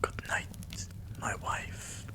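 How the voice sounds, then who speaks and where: A man playing a tender, devoted vampire king in a romantic ASMR roleplay, speaking in a hushed, breathy, soothing way that often slips into a whisper. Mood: soothing, drowsy. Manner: hushed, slow, soothing, affectionate.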